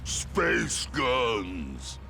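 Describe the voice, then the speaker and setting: Slow, gravelly monster brute. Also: male voice, medium pitch, huge pitch swings, shouting, over music, full character voice. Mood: slow, grumbling. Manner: slow, simple broken speech. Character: big, dim, sleepy monstrous mutant turtle brute. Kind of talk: cartoon action game acting.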